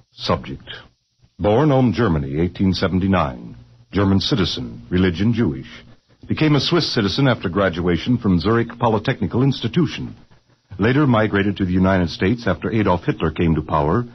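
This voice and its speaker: A tough, cold male agent, speaks in terse, official bursts. His low, husky voice punches up and down. It has the dull sound of vintage radio. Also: very animated, a little fast, heavily acted. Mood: clipped, officious. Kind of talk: 1950s radio drama acting. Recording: dull old radio recording.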